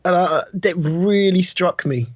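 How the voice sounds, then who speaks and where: A man with a fairly high, husky voice talks casually as a podcast co-host. He tells things as anecdotes, and the sound is dry and a little dull. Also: measured pace, no room sound. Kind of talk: casual film chat.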